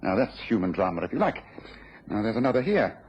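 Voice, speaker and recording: An actor playing a restless, analytical gentleman detective in a radio drama, theatrical and precise. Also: on the low side, very animated, fairly quick. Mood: intrigued. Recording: dull lo-fi radio recording, faint noise.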